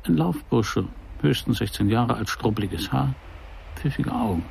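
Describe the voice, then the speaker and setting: An actor playing a wry, world-weary private detective in a noir radio play, with a dry, sardonic delivery. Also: male voice, medium pitch, animated, a little slow, gravelly, a little mellow, a little hiss or hum. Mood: dry, observant. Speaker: narrator and lead of a crime radio play. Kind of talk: first-person noir radio drama narration.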